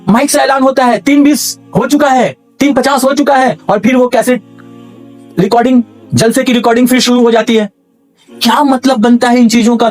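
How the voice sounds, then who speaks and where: Male, very high voice, earnest appeal, emphatic repeated points, faint music behind. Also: darkish tone. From India. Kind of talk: earnest public appeal to camera.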